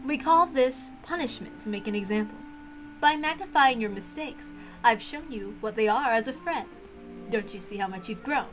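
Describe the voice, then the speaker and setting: A woman's voice: fairly high pitch, lively intonation, moderate pace, a bit bright, poor audio. A woman voicing a haughty, teasing, prank-loving witch in a theatrical visual novel read-aloud, mocking and grandly condescending. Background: music.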